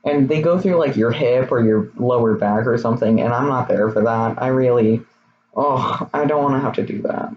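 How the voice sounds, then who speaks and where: A young man in his twenties, his voice a little raspy, talking candidly and hesitantly in a personal vlog. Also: mid-range voice, normal speed. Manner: candid, rambling, hesitant with many fillers. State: slightly raspy voice. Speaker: vlogger. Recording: slightly dull, faint noise.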